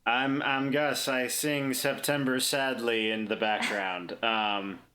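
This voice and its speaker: A man who plays on a podcast and makes music, chatting casually in a halting, self-deprecating way. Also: mid-range voice, little pitch movement, bright, forceful tone. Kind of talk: casual chat.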